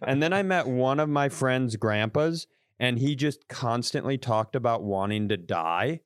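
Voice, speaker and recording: A man chatting on a podcast with a smooth, resonant voice, heard up close and dry with no room sound. He tells wry, exaggerated stories and swears freely. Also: medium pitch, expressive, measured pace, no echo. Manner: wry, exaggerated storytelling with profanity. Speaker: podcast co-host. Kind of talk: casual comedic chat.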